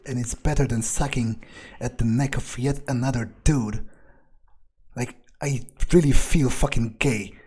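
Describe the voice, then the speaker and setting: A man's voice: medium pitch, steady tone, a little slow, a little mellow, breathy edge. A man thinking aloud in character as a cynical vampire during gameplay, hesitant, disdainful and sweary. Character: cynical, scornful male vampire. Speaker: gamer playing in character.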